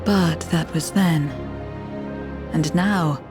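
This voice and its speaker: A woman playing an ageless, half-voidsent woman in a video game, grave, formal and measured, proud and detached. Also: low-pitched, music in the background. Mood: solemn. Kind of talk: dramatic video game cutscene acting.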